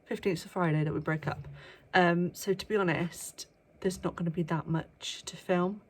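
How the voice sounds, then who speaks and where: Young woman, vlogger, a touch low-pitched, nasal from a cold, chatty and hushed at times. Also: steady tone, moderate pace. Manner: chatty, with frequent ums, sometimes hushed. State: bunged up with a cold. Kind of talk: casual chat to camera. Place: workplace indoors, early morning.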